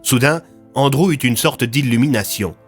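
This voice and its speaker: A man's voice: mid-range voice, moderate pace, faint music behind. A man narrating an audio fiction series in a scripted, dramatic storytelling style.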